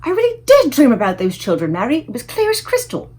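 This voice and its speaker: Woman acting a high-pitched, startled regal queen. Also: huge pitch swings, fast, a little mellow, exaggerated voice. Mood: unsettled, dreamy. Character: regal queen, startled yet self-controlled, well-mannered.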